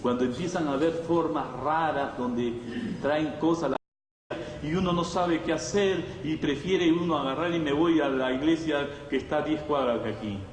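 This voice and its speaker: A man's voice: fairly high pitch, normal speed. A man with a Latin American Spanish accent preaching a conversational sermon to a congregation, emphatic, repeating phrases and asking rhetorical questions. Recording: echoey hall, distant mic, faint noise.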